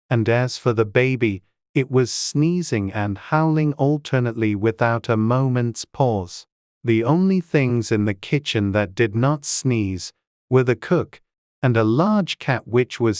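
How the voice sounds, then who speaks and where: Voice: mid-range voice, darkish tone, clear, resonant tone, no echo, clean studio sound. An audiobook narrator reading a dramatized storybook.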